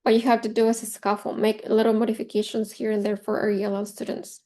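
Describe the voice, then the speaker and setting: A woman's voice: fairly high pitch, level tone, quick pace, mellow. Woman trainer with a Spanish accent. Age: 40s. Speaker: teacher trainer leading a professional development session. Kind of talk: informal presentation to teachers.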